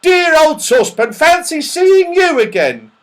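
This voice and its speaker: A man voicing a hearty, fondly exasperated fellow in a children's storybook, calling out loudly. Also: extremely high pitch, very animated, unhurried, voice pushed up, full character voice. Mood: amazed, delighted. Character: old friend of saucepan man, loud, fondly exasperated.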